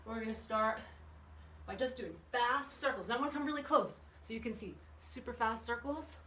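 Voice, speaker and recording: A woman's voice: fairly high pitch, normal speed, pushed and bright. A woman instructing a children's exercise class in a chatty, encouraging way, putting questions to the viewers as she goes. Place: indoor room with windows open. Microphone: camera at a distance. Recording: echoey room, distant microphone, background noise.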